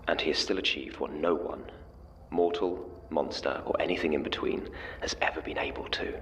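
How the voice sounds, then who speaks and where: A man's voice: rumbling low, moderate pace, a bit bright, distant mic. An actor playing an ominous man who speaks in awe, in a whispery dramatic monologue.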